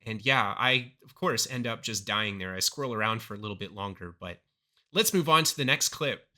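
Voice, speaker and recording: A young man with a voice a little hoarse from illness, talking casually over his gameplay footage as a gaming YouTuber. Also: lowish voice, fairly quick. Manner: upbeat, excited over big plays.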